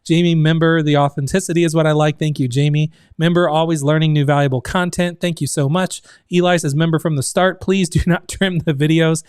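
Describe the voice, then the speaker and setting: A man in his thirties hosts a casual livestream from his home office, his voice slightly raised and smooth even though it sounds strained and close to giving out. He chats loosely with lots of fillers. The sound is dry and close, with no room around it. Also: fairly high pitch, a little fast, few pauses, dry recording. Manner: chatty, many fillers, reads out chat comments. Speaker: community founder hosting a livestream.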